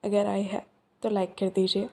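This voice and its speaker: A woman's voice: mid-range voice, completely flat delivery, normal speed, mellow. A young woman ASMR creator wrapping up her video in a friendly, casual way, mixing in English. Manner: friendly, code-switching casual appeal. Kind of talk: channel outro. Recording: roomy echo with long reverb.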